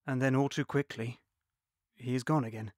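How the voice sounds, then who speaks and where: A man's voice: mid-range voice, steady tone, moderate pace, a little mellow, dry recording, clean studio sound, close to an everyday voice. Man playing a wistful older Yorkshireman. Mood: reflective. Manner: reflective, wistful narration. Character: older man recalling wartime childhood. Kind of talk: scripted narration. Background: music.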